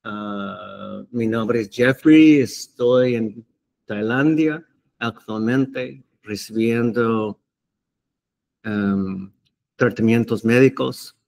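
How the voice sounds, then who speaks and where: Very slow, halting man teaching the Bible. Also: mid-range voice, vocal fry, darkish tone, low-quality recording. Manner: hesitant, halting, many fillers and restarts. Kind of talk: unscripted Bible teaching to camera.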